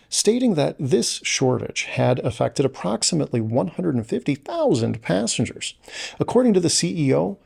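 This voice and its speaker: Husky, creaky male news narrator. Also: mid-range voice, darkish tone. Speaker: podcast host.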